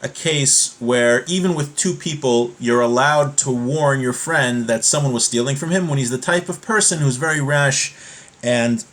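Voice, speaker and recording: A man giving an informal religious lesson, explaining step by step in a halting way and rephrasing his points as he goes. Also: medium pitch, a bit bright. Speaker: religious teacher giving a class.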